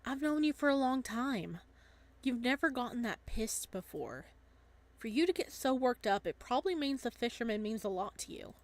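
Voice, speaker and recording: A woman's voice: fairly high pitch, very animated, moderate pace, dry recording. Woman doing a casual, teasing young guy. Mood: probing. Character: casual, teasing young male best friend, loyal. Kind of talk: visual novel dialogue reading.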